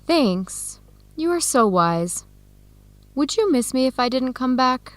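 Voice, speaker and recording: Earnest, troubled young woman, animated voice. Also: high voice, slow pace, no echo, exaggerated voice. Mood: tender. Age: young adult. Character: young Ethiopian-born American woman scholar, searching, troubled, earnest. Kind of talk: English-learning radio drama acting.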